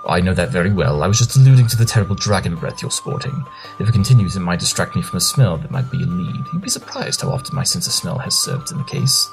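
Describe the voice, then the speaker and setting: A man's voice: deep voice, wildly swooping pitch, music in the background, heavily acted, rough recording. A man acting a brilliant, arrogant pony detective in an audio drama, lecturing in a pompous, condescending way. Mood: mocking. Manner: pompous, rapid-fire lecturing.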